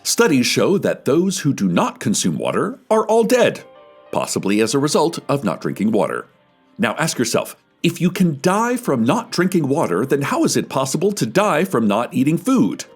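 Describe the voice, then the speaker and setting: A man's voice: medium pitch, huge pitch swings, normal speed, husky, soft background music, exaggerated voice. A man voicing a pompous government announcer who reads a public-service message with fervent, rhetorical emphasis. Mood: pompous, preachy.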